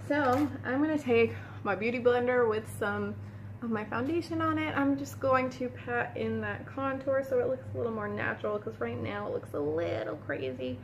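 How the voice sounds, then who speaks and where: A woman's voice: a little high, lively intonation, moderate pace, a little hiss or hum. Chatty woman doing a makeup tutorial. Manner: chatty, talks while applying makeup, addresses viewers as "you guys". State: sniffling from a cold room. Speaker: beauty vlogger. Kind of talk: casual makeup tutorial talk. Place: indoor room at home.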